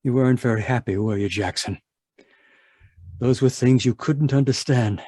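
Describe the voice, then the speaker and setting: A man's voice: medium pitch, normal speed, dark tone, no room sound, close to an everyday voice. An actor playing a stern, irritable husband obsessed with his work, imperious and impatient, in old-time radio drama style. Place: live video call performance. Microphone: video call microphone.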